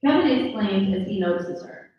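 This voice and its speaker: A woman narrates screenplay stage directions at a live table read. Her mid-pitched voice comes from far off in a heavily echoing room, slightly dulled. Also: lively intonation, moderate pace. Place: live indoor table read at a mixer event. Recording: slightly dull, very echoey, distant pickup.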